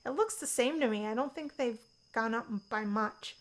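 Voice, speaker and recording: A woman's voice: a little high, moderate pace. A woman hosting a let's-play, giving casual gaming commentary. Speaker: host of a visual novel let's-play series.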